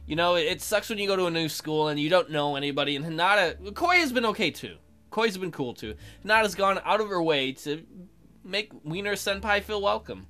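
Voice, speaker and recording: A man's voice: high voice, expressive, fairly quick, bright, forceful tone, faint music behind. A man hosting a let's play, reading game text aloud and adding casual, sarcastic, joking commentary.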